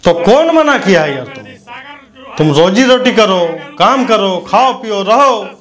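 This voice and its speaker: A man's voice: high voice, sing-song, a little slow, over a noisy crowd. A man delivers a combative political rant to camera on a lapel mic, scornful, abusive and confrontational. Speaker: news commentator.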